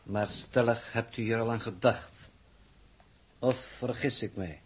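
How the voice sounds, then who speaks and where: A man acting a coldly precise, formal mission coordinator in 1960s radio drama, measured and pedantic in manner. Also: medium pitch, breathy edge. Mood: dry, formal.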